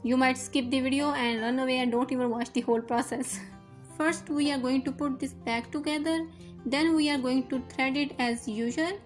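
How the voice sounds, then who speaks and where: A woman's voice: high-pitched, steady tone, normal speed, faint music behind. A young woman whose first language is Urdu, patiently talking through a sewing machine fix step by step as host of her tutorial channel. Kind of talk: instructional voice-over.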